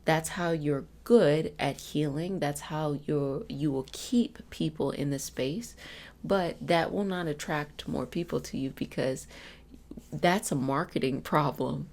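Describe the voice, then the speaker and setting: A woman's voice: sits low, slow pace, creaky, darkish tone. A woman giving an ASMR self-help talk in a soothing, reassuring, conversational coaching manner. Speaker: ASMR host and energy healing practitioner.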